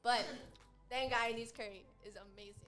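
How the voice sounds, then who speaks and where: A woman's voice: on the high side, steady tone, brisk, a bit bright. A young woman from New York chatting casually, full of "like" fillers. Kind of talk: casual chat.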